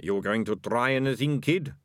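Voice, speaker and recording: A man voicing a gruff, suspicious bully of a rat catcher with a creaking, menacing voice for a storybook. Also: mid-range voice, moderate pace, no room sound, clean studio sound, close to an everyday voice. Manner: menacing, rough colloquial speech.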